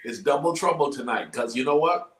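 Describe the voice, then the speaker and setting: A man's voice: medium pitch, animated, fairly quick, fairly bright tone. The folksy host of a homegrown motivational web show, a man calling out emphatically to viewers from a room that echoes around him. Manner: folksy, emphatic, repeats phrases for effect. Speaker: talk show host, life coach. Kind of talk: informal interview, exuberant show opening. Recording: roomy, echoey sound.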